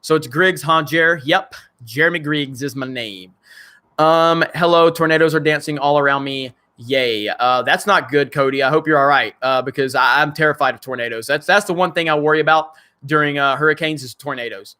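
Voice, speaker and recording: Male streamer host, slightly high, bright and crackly voice, blunt and profane, loose joking chat. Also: moderate pace. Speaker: channel host. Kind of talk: casual livestream chat reading viewer comments.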